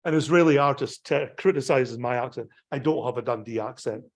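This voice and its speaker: A man's voice: medium pitch, brisk. A Scottish man, a pastor, giving a digressive slideshow talk about a pilgrimage, full of ums and restarts. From Scotland.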